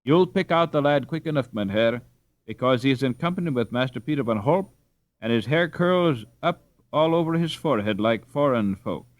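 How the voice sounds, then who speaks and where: A man voicing an excitable, well-meaning Dutch peasant mother in a storybook, breathless and rambling. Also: medium pitch, moderate pace, no room sound, close to an everyday voice. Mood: earnest.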